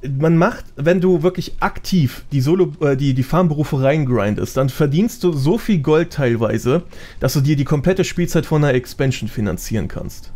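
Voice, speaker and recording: A man's voice: fairly high pitch, normal speed, mellow. A man, a podcast guest explaining things in informal, slangy casual chat. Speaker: podcast guest, gaming content creator.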